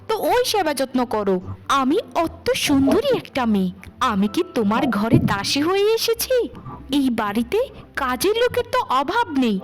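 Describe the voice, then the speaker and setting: A woman's voice: sits high, fairly bright tone. A woman voicing a vain, greedy young wife in a cartoon, complaining and indignant. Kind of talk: cartoon voice acting for children's moral story.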